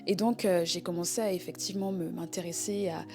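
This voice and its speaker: Congolese-born woman telling her faith story, kinda low voice, halting and repeats words, faint music behind. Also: fairly even intonation. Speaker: guest giving a testimony.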